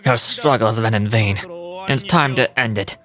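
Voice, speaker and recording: A man's voice: medium pitch, normal speed, close to an everyday voice. A man acting out an arrogant, cold video game villain who rules a hive mind, speaking in a pompous, formal, declamatory way. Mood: menacing, smug. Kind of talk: live amateur voice acting of game dialogue. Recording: loud game music behind. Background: game music.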